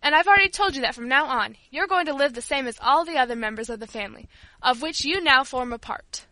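A woman voicing a stern, quick-tempered father in an audiobook, with a firm, commanding manner. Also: high-pitched, expressive, pushed and bright, dry recording, rough recording.

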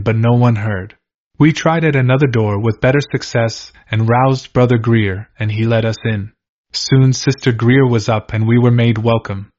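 A man reading old first-person diary entries aloud in a measured, steady way. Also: mid-range voice. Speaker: reader. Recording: slightly dull lo-fi recording.

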